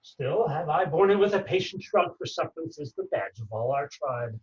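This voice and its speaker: A man performing a wronged, bitter moneylender in a Shakespeare play, with deliberate, declaimed stage delivery. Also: a little high, big pitch swings. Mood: bitter, indignant. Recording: muffled, distant microphone.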